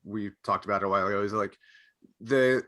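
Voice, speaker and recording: Man, podcast co-host, bright forceful voice, thoughtful, rambling, casual panel talk. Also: on the low side, fairly even intonation, fast.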